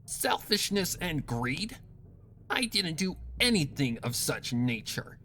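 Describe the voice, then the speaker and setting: Give a cold, sadistic mare villainess as a narrator puts her on for a dark story reading. She taunts slowly and savours her cruelty with sinister relish. There is faint noise behind the voice. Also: mid-range voice, sing-song, voice pushed up, exaggerated voice. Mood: taunting. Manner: taunting, drawn-out, sinister delivery.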